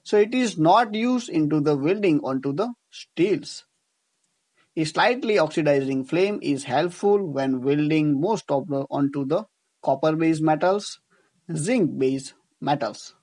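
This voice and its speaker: A man's voice: on the high side, animated, unhurried, darkish tone. A man with an Indian accent giving a scripted tutorial as an engineering teacher, explaining didactically and rephrasing his points. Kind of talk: scripted lecture narration over slides.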